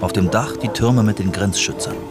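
A man narrating a scripted radio documentary in terse, measured phrases. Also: fairly low pitch, over loud music.

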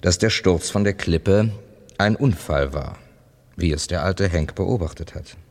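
An actor playing a composed, discreet writer in his thirties in a 1970s radio crime drama, speaking in a measured, reassuring way. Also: low voice, darkish tone. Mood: sober reporting. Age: 30s. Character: composed, discreet male writer, thirty-six, narrator and confidant.

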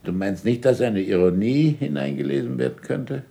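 An actor playing an older, sardonic and imperious scholar in a German radio drama, dictating deliberately with irony and curt commands. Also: male voice, medium pitch, animated, creaky, dark tone. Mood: curt, suspicious.

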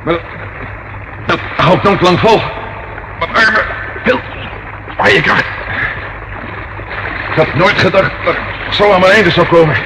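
An actor playing a detective's excitable, grumbling companion in a Dutch radio play, expressive and easily startled. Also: male voice, high-pitched, a little slow, pauses often. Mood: nervous, panicky. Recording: noisy old radio drama recording.

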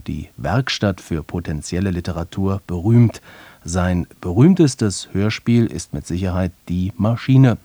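A man's voice: low voice, warm tone, dry recording. A man reading a scripted introduction to a radio play as its presenter. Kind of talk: scripted narration.

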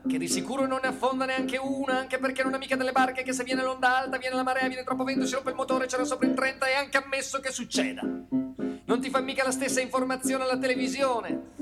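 A man performs a theatrical satirical monologue in a dramatic, colloquial, sardonic storytelling manner, leaning on rhetorical repetition. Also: very high-pitched. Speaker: stage monologist. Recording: loud live guitar music accompaniment. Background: plucked guitar music.

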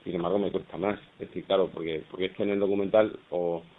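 A man, a film director, answering at a press conference in a halting way, often repeating words as he thinks. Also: low voice, fairly even intonation, a little hiss or hum. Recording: muffled phone-like press conference audio.

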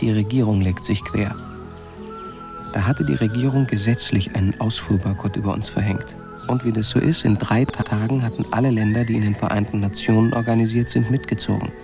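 An actor playing a chatty, easygoing, wry owner of a space colony, rambling colloquially in a radio play. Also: male voice, on the low side, natural voice. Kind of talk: radio play acting, conversational monologue.

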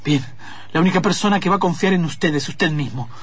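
A man's voice: a little high, a little fast, slightly rough. A man playing a professor's eager, deferential student with an Argentine accent, in an improvised radio comedy. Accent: Argentine, Rioplatense.

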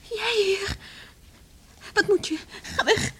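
High-voiced headstrong young village woman. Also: slow pace. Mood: alarmed refusing. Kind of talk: Dutch radio play acting. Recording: noisy old radio drama recording.

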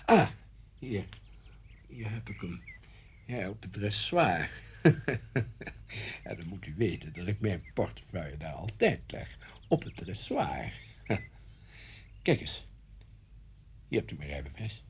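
An actor in a radio play playing a flustered, easily indignant schoolmaster at home, hesitant and blustering. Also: male voice, sits low, big pitch swings, slow pace, pauses often, a little hiss or hum, heavily acted. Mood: affable, uneasy. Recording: slightly dull old radio recording.

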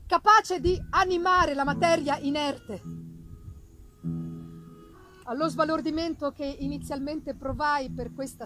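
A woman gives a dramatic literary reading with theatrical, deliberate phrasing and pauses. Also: high voice, low-quality recording. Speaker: reader performing a gothic novel. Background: live musical accompaniment.

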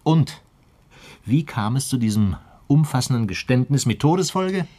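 An actor playing an impatient, sardonic police detective in a German radio crime drama, questioning in a pointed, probing way. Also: male voice, medium pitch, animated, moderate pace, mellow, lightly acted.

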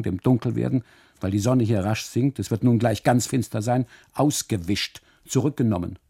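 An actor playing an uneasy husband on holiday in a literary radio monologue, narrating inwardly and haltingly in a gravelly, husky voice. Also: male voice, medium pitch, dark tone. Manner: halting, brooding, deliberate phrasing with repetitions and dramatic pauses. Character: uneasy German husband on holiday, obsessively narrating his story.

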